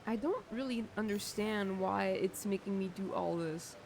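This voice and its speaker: A woman's voice: mid-range voice, moderate pace, darkish tone, slight background noise. A young woman streaming a video game, rambling casually with lots of filler words and swearing, her voice a little shaky. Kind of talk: casual gameplay commentary.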